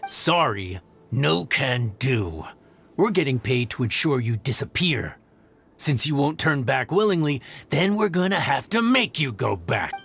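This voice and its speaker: Smug, animated mercenary thug. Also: male voice, mid-range voice, measured pace, gravelly, slightly rough, heavily acted. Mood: threatening. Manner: taunting, smug. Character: cocky threatening male desert mercenary, smug negotiator. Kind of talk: scripted video game dialogue.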